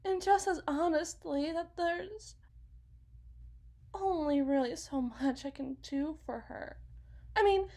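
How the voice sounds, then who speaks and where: Woman acting a high-voiced, slow, anxious mother. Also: fairly bright tone, full character voice. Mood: bitter, resigned. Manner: halting, rambling, self-correcting, sighing. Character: anxious, rambling wife and mother, defensive, self-blaming, fragile. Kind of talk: ASMR dramatic monologue roleplay.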